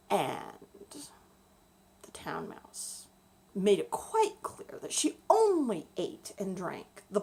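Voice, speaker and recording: Animated woman storyteller, unhurried pace. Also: high voice. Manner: expressive, deliberate, explaining to young listeners. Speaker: children's storyteller. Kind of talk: bedtime storytelling for children.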